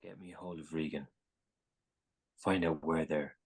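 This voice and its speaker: An actor playing a brusque, exasperated Navy admiral with a gravelly, husky voice, giving halting, clipped orders in a screenplay table read. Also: rumbling low, steady tone, exaggerated voice. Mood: exasperated, terse.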